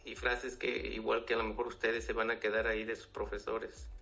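A man's voice: lowish voice, monotone, brisk, creaky, pushed and bright. A man, a finance professional giving earnest advice as an interview guest, often repeating words. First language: Spanish. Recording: dull lo-fi audio, faint music.

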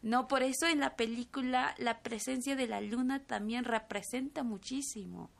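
A woman's voice: fairly high pitch, moderate pace, creaky. A woman in her twenties, a native Tzotzil speaker from Chiapas, answering interview questions thoughtfully with frequent fillers and tag questions. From Chiapas, Mexico. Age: 20s. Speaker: filmmaker guest.

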